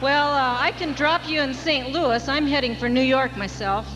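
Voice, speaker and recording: A woman playing a tough, brash long-haul trucker with gruff, folksy, bossy talk in a comic radio drama. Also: high-pitched, moderate pace, background noise, close to an everyday voice. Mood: folksy, matter-of-fact. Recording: music behind, 1980s live radio broadcast.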